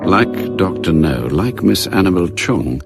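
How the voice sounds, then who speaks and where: Spy thriller narrator, deep, creaky, deliberate, grave, loud music underneath. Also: male voice, very animated, exaggerated voice. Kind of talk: dramatized scripted narration.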